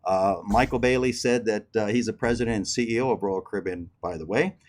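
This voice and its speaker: A man's voice: fairly low pitch, a little fast. A man hosting a cruise news show and interviewing a guest, talking in a chatty way straight to his listeners. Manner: chatty, addresses crew members directly. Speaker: host.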